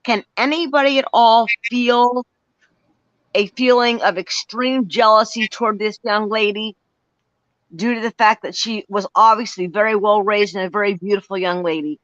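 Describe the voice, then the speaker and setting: A woman's voice: mid-range voice, steady tone, moderate pace, fairly bright tone, dry recording. Emphatic woman in her sixties, dry audio. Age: 60s. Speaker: co-host. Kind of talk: live-stream ghost hunt chat. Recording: dry, dull lo-fi stream audio.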